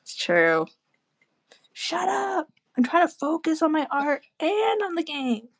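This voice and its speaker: A woman with a slow, gravelly voice chatting in a dry, close-sounding room. She jokes and teases often, her pitch swinging up and down as she talks. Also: big pitch swings, dry recording. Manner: joking, playful, laughs often. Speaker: artist co-host. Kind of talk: casual chat while drawing.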